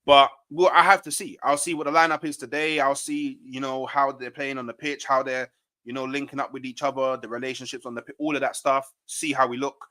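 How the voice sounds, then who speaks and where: Bright-voiced man talking football. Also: medium pitch, flat delivery, a little fast. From England. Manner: slangy, ends points with "innit". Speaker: football fan panellist, Arsenal supporter. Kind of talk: casual football banter.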